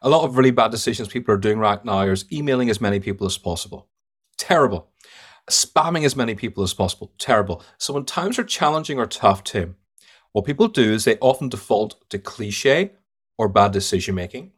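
A man's voice: on the low side, animated, a little fast, breathy edge. A man with an Irish accent, a guest on a podcast interview, telling stories emphatically and giving blunt verdicts. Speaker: guest sales trainer.

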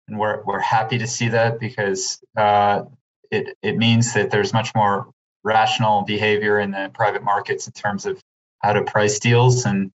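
Even-toned man, slightly dull audio. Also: fairly low pitch, normal speed. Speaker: investor, panel guest. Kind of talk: unscripted interview answer.

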